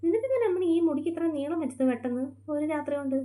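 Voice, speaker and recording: Woman doing a mother, fussy, nagging, high voice, rapid speech, home-made skit. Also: lively intonation, mellow. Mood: fussing. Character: busy mother who runs a beauty parlour.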